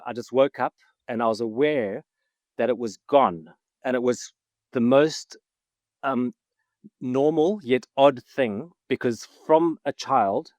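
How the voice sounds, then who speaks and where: A man's voice: medium pitch, a little mellow, no echo. A man from Zimbabwe, a guest on a podcast, chatting casually and enthusiastically, often laughing. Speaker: guest, film writer-director. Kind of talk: casual interview chat.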